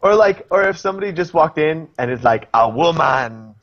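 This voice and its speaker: A young man guesting on a video-call podcast, talking eagerly in quick bursts and laughing, recorded through a webcam in a small room. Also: fairly high pitch, highs cut off. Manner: animated, enthusiastic, fast bursts, laughing. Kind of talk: casual chat reviewing a TV episode.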